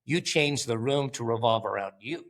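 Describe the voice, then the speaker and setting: Blunt, animated male founder who swears casually. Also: medium pitch, moderate pace. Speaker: company founder, guest. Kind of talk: casual interview.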